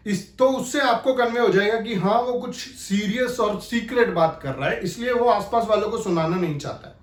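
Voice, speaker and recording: A man's voice: high-pitched, reverberant. A man giving an instructional talk as an acting coach, explaining emphatically. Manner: explanatory, emphatic, repeats key phrases to demonstrate.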